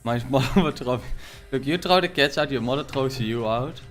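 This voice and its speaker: A man's voice: medium pitch, brisk, faint music behind. A young man with a thick Dutch accent, chatting casually and jokingly with viewers while playing a game, often laughing. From Netherlands. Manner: rambling and jokey, laughs often. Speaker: streamer. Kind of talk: casual chat while gaming.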